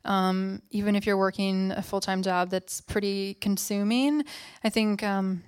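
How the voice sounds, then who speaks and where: Lady host at a live show, relaxed, lots of ums, dry close sound. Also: mid-range voice, a little slow, clean tone, no room sound. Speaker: podcast host. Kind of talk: live on-stage interview.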